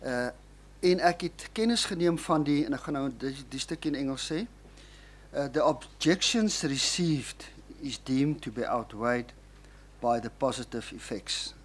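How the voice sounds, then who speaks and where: A man with an Afrikaans accent, a councillor, addressing the chamber in a measured, polite way. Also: medium pitch, expressive.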